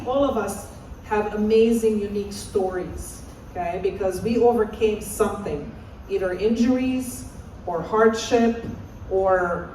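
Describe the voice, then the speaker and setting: A woman's voice: mid-range voice, warm tone, a little hiss or hum. A woman, giving an interactive conference talk as a presenter and encouraging the audience to call out answers. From Romania. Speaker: assistant professor and presenter. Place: large conference hall. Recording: echoey hall, voice far off.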